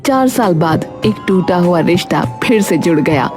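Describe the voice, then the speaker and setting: A woman reads scripted narration for a cartoon story. She works as the storyteller. Music plays clearly underneath her voice. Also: lowish voice, lively intonation, measured pace.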